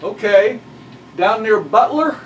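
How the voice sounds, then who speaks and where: A middle-aged man from southeast Missouri, a pastor telling stories in an unhurried, friendly way. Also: high-pitched, animated, faint music behind. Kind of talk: casual conversation. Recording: distant mic with faint background noise.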